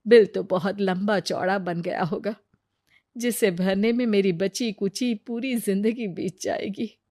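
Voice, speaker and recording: Actress doing an anxious woman patient, fretting, mid pitch, dry cartoon story audio. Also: steady tone, measured pace, darkish tone, no room sound, close to an everyday voice. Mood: worried. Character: anxious female patient fretting over money.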